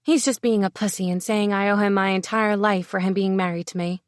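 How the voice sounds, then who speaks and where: Woman reading a sharp, defiant young heroine, dry, close voice. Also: medium pitch, fairly even intonation, brisk, dry recording, natural voice.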